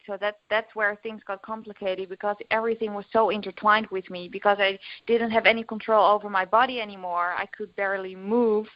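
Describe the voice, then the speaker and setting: A woman's voice: medium pitch, no echo. A young woman with a Dutch accent, a podcast guest talking openly with many fillers and restarts. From Netherlands. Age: young adult. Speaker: guest, recovery coach. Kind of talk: podcast interview. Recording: dull lo-fi audio.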